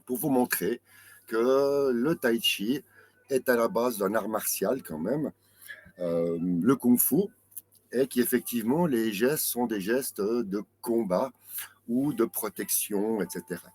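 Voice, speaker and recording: A man's voice: medium pitch, slow pace, a little mellow, breathy edge. A man in his late fifties with a French accent, teaching tai chi in a chatty, self-mocking live class. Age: 50s. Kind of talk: live-streamed class explanation.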